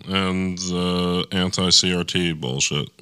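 A man's voice: deep voice, steady tone, slow, sharp tone, clean tone, no echo, studio quality. A man chatting casually about politics as a panelist, irreverent and given to swearing. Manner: irreverent, profane. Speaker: podcast panelist.

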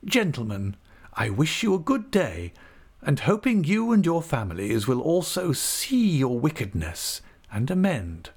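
A man's voice: on the high side, big pitch swings, measured pace, darkish tone, breathy edge, voice pushed up, full character voice. A man reading an audiobook, voicing a prim, self-righteous male manservant with a precise, starchy manner. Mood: sanctimonious.

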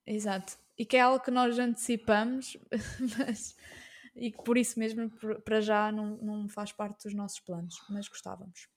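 A woman's voice: medium pitch, little pitch movement, normal speed. A woman with a European Portuguese accent in casual conversation as a co-host of a podcast.

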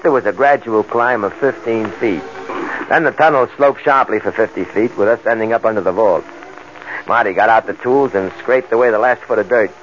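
An actor playing an eager, excitable junior crook in a 1940s radio crime drama, earnest and slangy. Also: male voice, lowish voice, lightly acted. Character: eager, excitable junior male crook, loyal heist sidekick. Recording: muffled, noisy old-time radio recording.

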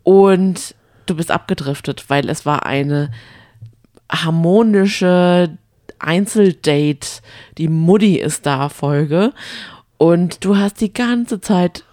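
A woman's voice: on the low side, slow, dark tone, no echo, studio quality. A woman co-hosting a casual podcast, bantering in a chatty, teasing way. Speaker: podcast co-host. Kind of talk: casual banter recapping reality TV.

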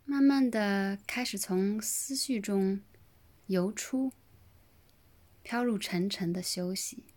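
A woman leading a guided relaxation meditation in a gentle, soothing manner, with pauses between short phrases. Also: mid-range voice. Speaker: yoga nidra meditation guide.

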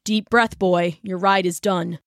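Woman doing a gruff old colonel father. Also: fairly low pitch, steady tone, a little slow, fairly bright tone, no room sound, clean studio sound. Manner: gruff, commanding. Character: steady old soldier father, a militia colonel.

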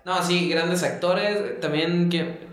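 A Mexican man with a slightly high voice chats casually about movies. He talks in a colloquial, slangy way. The sound is very echoey, as if recorded in a bare room. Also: steady tone, slow, fairly bright tone. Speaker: film discussion podcast host. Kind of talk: casual chat about films.

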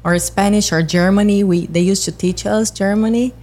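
A woman whose first language is Portuguese, chatting as a podcast guest in halting English with light laughs. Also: mid-range voice, steady tone. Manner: halting non-native English, soft laughs. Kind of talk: casual chat.

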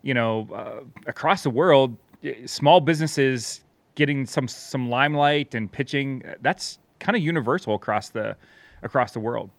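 A man's voice: mid-range voice, moderate pace, gravelly, no room sound. American male interviewer, dry close sound. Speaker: interview host. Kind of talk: casual interview.

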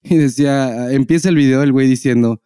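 A Mexican man chats as a podcast host with a soft, relaxed timbre. His talk is loose, unscripted and peppered with swearing and slang. The sound is close and dry, like a studio. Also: medium pitch, fairly quick, clear, resonant tone, no echo. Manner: slangy, profane. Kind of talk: casual unscripted podcast banter.

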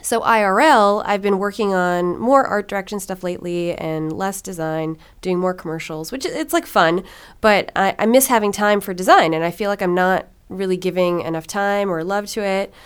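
A woman's voice: mid-range voice, normal speed, clean tone. A young woman hosting a podcast, talking in a chatty way with lots of fillers, then interviewing a guest. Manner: chatty, filler-heavy with frequent "like". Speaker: podcast host. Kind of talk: casual monologue and interview.

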